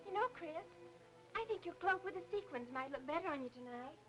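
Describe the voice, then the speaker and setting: High-voiced, teasing kid sister. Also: female voice, animated, rough recording. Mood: eager, coaxing. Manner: brisk, wisecracking, coaxing. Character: lively, teasing, fussing younger sister, a young woman. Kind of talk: 1940s film noir acting. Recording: old film soundtrack with music underneath.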